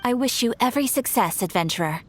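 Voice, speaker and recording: A woman's voice: on the high side, animated, fast, soft background music, lightly acted. A woman playing a courteous, helpful young guild receptionist in an English game dub, speaking politely and formally with encouragement. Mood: polite, encouraging.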